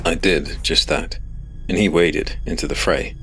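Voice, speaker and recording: A man giving a measured, serious scripted narration of a fantasy story as its first-person hero. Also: low-pitched, fairly even intonation, music in the background.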